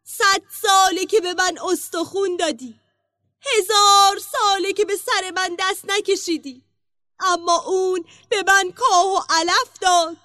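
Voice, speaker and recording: A woman's voice: extremely high pitch, bright, forceful tone, dry recording, pitched above the performer's own voice. A woman voicing an indignant, defiant servant animal in a storybook tale, reciting grievances rhythmically. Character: indignant animal servant, horse or dog, defying its master.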